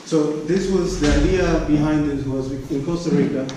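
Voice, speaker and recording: A man presenting research at an academic conference, speaking haltingly and often repeating and restarting his phrases. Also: a little high. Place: conference room. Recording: echoey room, distant mic, background noise.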